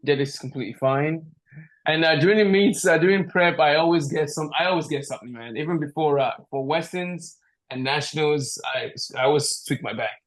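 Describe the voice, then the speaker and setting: A man's voice: a little high, a little fast. Easygoing man chatting on a podcast. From Nigeria. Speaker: powerlifter, podcast guest. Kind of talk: casual interview chat. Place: indoor room at home, video call. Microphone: video call, device at a short distance.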